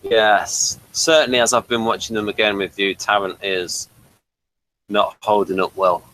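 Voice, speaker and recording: A man's voice: fairly low pitch, fairly even intonation, unhurried, pushed and bright, rough recording. A man hosting a casual review chat, talking conversationally. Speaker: reviewer and host.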